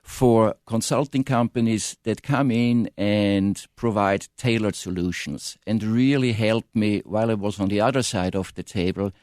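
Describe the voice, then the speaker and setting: A middle-aged man with an Austrian accent, a native German speaker, giving earnest, halting answers in a radio interview. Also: lowish voice, darkish tone, dry recording, studio quality. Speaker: interview guest, consulting firm founder. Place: radio studio.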